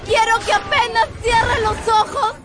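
Very high-voiced, lovelorn young woman. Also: steady tone, crowd noise. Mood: tearful pleading. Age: young adult. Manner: slow, pleading. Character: skinny, lovelorn, devoted young street woman. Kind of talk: radio drama acting. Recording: prominent music under the voice.

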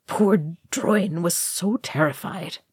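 Woman as a grave, low, sorrowful dwarf lord. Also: a little mellow, husky, dry recording, studio quality, exaggerated voice. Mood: grave, gentle. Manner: solemn, measured, weary. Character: grave, sorrowful, noble male dwarf ghost.

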